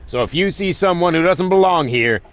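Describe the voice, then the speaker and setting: An actor playing a hard, curt security squad leader giving orders in a video game. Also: male voice, sits high, expressive, brisk, no room sound. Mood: commanding, urgent. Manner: commanding, curt. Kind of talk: scripted game dialogue, barking orders.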